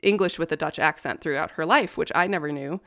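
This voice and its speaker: Low-voiced woman telling history, wry and profane. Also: fairly quick, fairly bright tone. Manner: wry, profane, nerdy about law. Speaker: podcast co-host. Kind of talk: casual storytelling chat.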